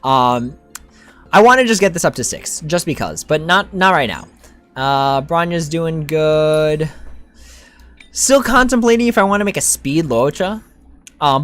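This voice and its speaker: A woman giving casual gameplay commentary as a streamer, thinking aloud in an informal way. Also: fairly low pitch. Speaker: game streamer.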